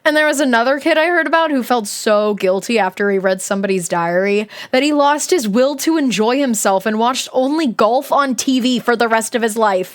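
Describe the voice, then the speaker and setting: A woman's voice: a little high, brisk, few pauses, lightly acted. A woman voicing a sarcastic, jealous middle school girl reading out her diary in a dramatic, snarky way. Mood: mock ominous.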